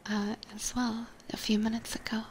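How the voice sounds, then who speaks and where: A woman streamer whispering softly and gently in a casual ASMR chat with viewers, close on a binaural microphone. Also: fairly high pitch, level tone, normal speed, slight background noise, rough recording. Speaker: streamer hosting a live ASMR roleplay stream.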